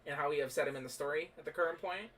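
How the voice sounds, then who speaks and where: A man's voice: a little high, very fast, fairly bright tone. A man chatting casually as a panelist in a group voice call. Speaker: voice actor on a fan dub panel. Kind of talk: casual chat. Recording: distant microphone.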